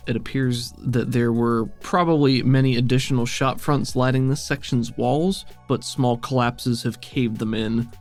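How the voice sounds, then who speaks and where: A man runs a tabletop game as its narrator. His voice sits at a middle pitch, and he describes things in measured, evocative phrases. Faint music plays behind him. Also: steady tone, a little mellow. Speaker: game master.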